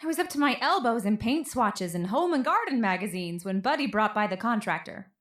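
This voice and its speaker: Actress playing young single lawyer lady, high voice, brisk n wry, romcom radio play. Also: expressive, lightly acted. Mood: wry narration. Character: guarded, headstrong young single woman lawyer renovating her house. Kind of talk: romantic radio play acting, with first-person narration.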